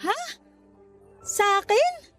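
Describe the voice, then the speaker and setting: A weary young mother in a radio drama, her voice very high. She is guarded and blunt as she questions her ex, with faint music behind her. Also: expressive, extremely slow, a bit bright, no echo, exaggerated voice. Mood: confused. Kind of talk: Tagalog radio drama acting.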